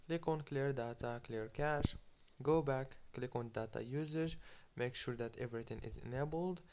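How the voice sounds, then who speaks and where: A man's voice: medium pitch, a little mellow. A man narrating an instructional phone tutorial as its host, giving directions step by step. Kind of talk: instructional screen-guide narration.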